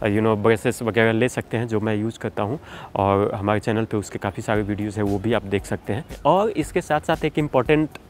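A man's voice: fairly low pitch, a little fast, a little hiss or hum. A man in a casual vlog, explaining car tips in a conversational way, often dropping in English fillers like "you know" and "okay". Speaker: car vlogger.

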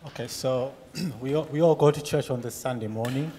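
A man from Zimbabwe, relaxed and a little hesitant, interviewed on stage with a handheld mic in a church. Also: mid-range voice, fairly quick. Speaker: church member. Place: large church hall. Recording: reverberant church hall.

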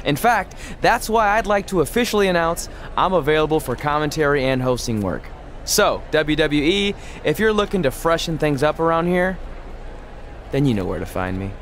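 A cheeky former wrestler jokes around in a lively voice that swings widely in pitch. His voice sits slightly high, with soft background hiss in this game cutscene. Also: moderate pace. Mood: playful. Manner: playful, joking. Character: cheeky, joking male ex-wrestler.

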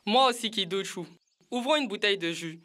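A man's voice: sits high, animated, fairly quick, sharp tone. A man voicing a sensible, assured child who explains things in an educational cartoon.

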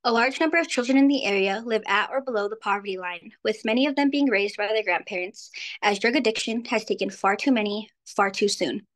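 A woman's voice: mid-range voice, fairly quick, hardly any pauses. A seventeen-year-old girl from New Mexico giving an earnest prepared speech on a video call. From New Mexico, USA. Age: teen, 17. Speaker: youth speaker. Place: indoors, virtual background. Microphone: video call webcam.

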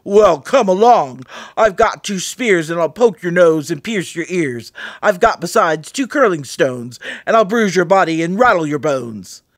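A woman voicing a big, bold billy goat in a storybook, loud, boastful and hoarse. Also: on the low side, animated, a little slow, dry recording, studio quality. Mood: defiant. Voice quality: hoarse, ugly voice. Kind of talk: storybook character voice.

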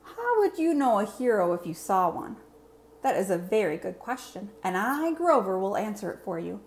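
A woman's voice: medium pitch, big pitch swings, moderate pace, darkish tone. A woman voicing a boastful, earnest monster superhero in a storybook, with formal, over-enunciated phrasing. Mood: self-important. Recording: clear background room noise.

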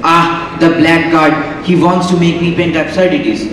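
A man's voice: a little high, flat delivery, measured pace, fairly bright tone. A young man playing a friendly, obliging male painter on a school stage, speaking in a formal, theatrical way. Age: young adult. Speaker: student actor. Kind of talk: stage acting in a school play. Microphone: far stage mic. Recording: echoey hall from far off, noise.

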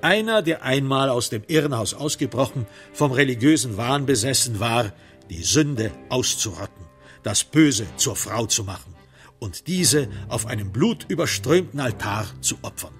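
A man playing the wry, sombre narrator of a crime radio drama, telling it in measured literary prose with dramatic emphasis. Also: medium pitch, lively intonation, faint music behind, lightly acted. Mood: sardonic, emphatic.

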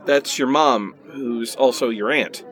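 A man's voice: medium pitch, slow pace, fairly bright tone, some chatter behind. A man playing an exasperated, sarcastic onlooker, snarky and incredulous, in a satirical radio play.

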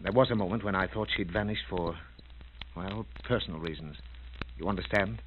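An actor playing a brisk, shrewd investigator in a 1940s-style radio drama, clipped, probing and authoritative. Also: male voice, deep voice, natural voice. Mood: assured. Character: brisk, commanding British investigator, shrewd and urgent. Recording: narrow, tinny, hissy old radio recording.

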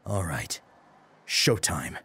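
A man's voice: animated, very slow, sharp tone, husky, dry recording, full character voice. A man voicing an aloof young male mercenary in an audiobook, curt and detached. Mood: cool. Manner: curt, detached, low-key. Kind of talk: audiobook character acting.

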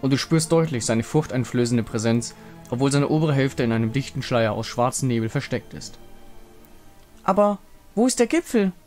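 A man's voice: mid-range voice, fairly quick, a little mellow. A man narrating a fantasy game story as a scripted, dramatic reading. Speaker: narrator voicing a visual novel. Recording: music behind the voice. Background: music.

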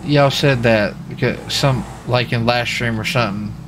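Male game streamer, average pitch, casual repetitive chat, light background noise. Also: steady tone, moderate pace. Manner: folksy, rambling, repeats himself. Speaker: live streamer playing a role-play game. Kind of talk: casual chat with viewers and players. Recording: clear background noise, vehicle sounds.

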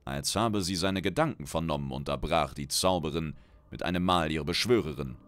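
A man's voice: extremely low pitch, moderate pace, fairly bright tone. A man reading a fantasy audiobook as a dramatic scripted narration. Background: background music.

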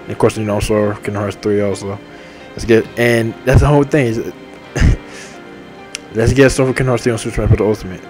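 A young man in his twenties rambles cheerfully, repeating himself as he hands out shout-outs. His voice sits on the low side, and soft game menu music plays underneath. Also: steady tone, normal speed. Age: 20s. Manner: repetitive, upbeat, enthusiastic shout-outs. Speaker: gaming channel host. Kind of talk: rambling casual monologue over game menu. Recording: faint game music behind. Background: faint game menu music.

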